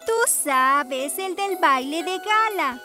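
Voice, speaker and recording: A girlish cartoon fairy godmother, theatrical and sure of herself. She gives orders briskly in a very high voice. Music plays clearly behind her. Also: animated. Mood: businesslike. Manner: brisk, instructing, self-assured. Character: bossy, theatrical young fairy godmother girl. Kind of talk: animated children's cartoon dubbing in Spanish.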